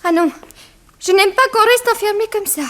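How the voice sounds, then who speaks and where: A very high female voice. a naive young woman in a radio play, chatty and artless, full of curiosity. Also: moderate pace. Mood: uneasy. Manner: inquisitive, artless. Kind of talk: French radio drama acting.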